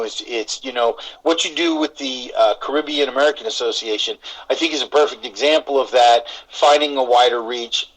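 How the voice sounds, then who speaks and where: A man's voice: medium pitch, poor audio. A man hosting a local TV interview, asking friendly, rambling questions full of fillers. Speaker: TV show host.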